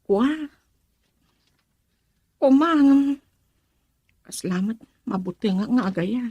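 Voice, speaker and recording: A woman's voice: fairly high pitch, takes it slowly, dark tone, breathy edge, no echo, dull audio, heavily acted. A middle-aged woman playing a prying, worried mother in a radio drama.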